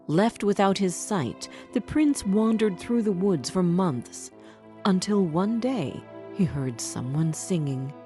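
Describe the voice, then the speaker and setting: A woman storyteller giving scripted fairy-tale narration for children in an expressive, deliberate way. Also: lowish voice, faint music behind.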